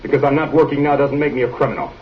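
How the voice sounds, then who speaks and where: An actor playing a proud, wrongly accused drifter with a Spanish accent, speaking formally and indignantly on muffled old film audio. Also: male voice, mid-range voice, very fast, far from the mic, a little hiss or hum, natural voice.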